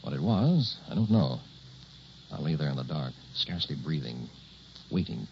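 A man acting a young man who narrates an eerie tale in a 1960s radio drama, deliberate and suspenseful. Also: deep voice, gravelly, a little hiss or hum, full character voice. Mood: hushed dread. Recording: muffled old radio recording.

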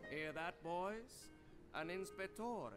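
A man's voice: sits high, lively intonation, takes it slowly, over music. An actor playing a swaggering, menacing dockside thug leader in a video game, a mocking man. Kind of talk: video game villain acting.

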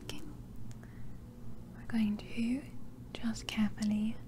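A woman whispering softly in an ASMR roleplay as a barber, gently and politely talking through each careful step. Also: on the high side, little pitch movement, takes it slowly, background noise.

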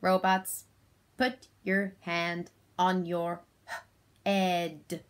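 A woman's voice: on the low side, little pitch movement, clean tone. A woman voicing a stiff robot in a children's game, giving simple commands and sounding words out piece by piece. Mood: commanding, stilted. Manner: stilted, drawn-out, sounding out words in segments.

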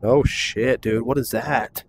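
A young man giving casual, excitable gameplay commentary, swearing often and laughing a lot. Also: mid-range voice, slow pace, background noise. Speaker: gaming YouTuber.

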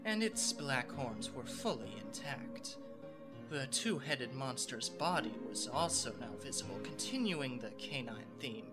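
A man narrating a scripted story in a storybook style. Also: mid-range voice. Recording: loud background music.

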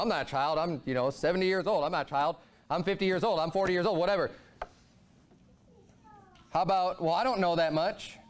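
A pastor in his thirties preaches from the pulpit in a high, bright-edged voice. He talks with fervor and swings from low, quiet asides up to ringing exclamations. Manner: animated preaching, voice rising and falling a lot. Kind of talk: sermon. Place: church sanctuary, speaking at a pulpit.

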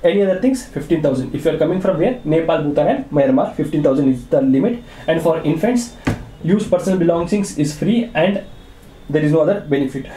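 A man's voice: fairly high pitch, a little fast, dark tone, a little hiss or hum. A young man with an Indian English accent gives an exam revision lecture, repeating key points and asking and answering his own student-style questions. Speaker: tax teacher. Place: small indoor room, video over lecture slides. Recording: echoey room.

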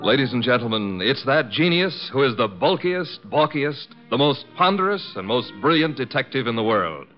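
Male radio announcer, grandiose, very lively intonation, dull vintage broadcast, music behind. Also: mid-range voice, moderate pace, few pauses. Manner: grandiose, emphatic. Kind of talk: dramatic old-time radio announcing.

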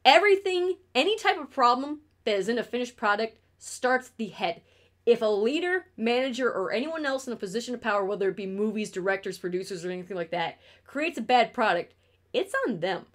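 Young upstate new york woman streaming from her bedroom, blunt, swears a lot, kinda high voice. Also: lively intonation, a little fast. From New York State. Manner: blunt, swears freely, ranting. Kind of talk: casual stream chat.

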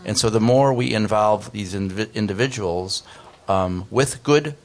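A man's voice: on the low side, a little slow. A man in his fifties, a researcher, explaining earnestly on a discussion panel at a desk microphone in a conference room. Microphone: desk microphone on stand.

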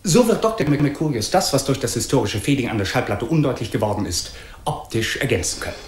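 An earnest man playing a radio announcer of the 1950s delivers scripted copy with formal precision. His intonation is very lively at a middling pitch, in a roomy vintage radio recording. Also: fast, background noise, natural voice. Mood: solemn, formal. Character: earnest male radio announcer presenting mock-scientific report.

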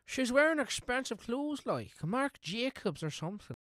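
Cheeky, animated detective sidekick, acted by a woman. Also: on the high side, normal speed, dry recording, studio quality. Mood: flippant. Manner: flippant, slangy. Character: cheeky, clueless, slack male detective sidekick. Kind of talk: comic amateur radio drama acting.

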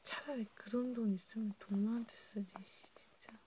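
A woman whispering breathily in an intimate, halting ASMR role play, talking informally as if to one listener. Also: fairly high pitch. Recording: steady background noise with clicks.

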